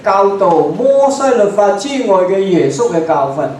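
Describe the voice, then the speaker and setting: Hong Kong Cantonese preacher in an echoey hall. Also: male voice, sits high, sing-song, a little mellow. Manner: emphatic, speaks in short phrases and pauses for the interpreter. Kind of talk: bilingual sermon. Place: church hall. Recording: very echoey hall, distant mic, faint hiss.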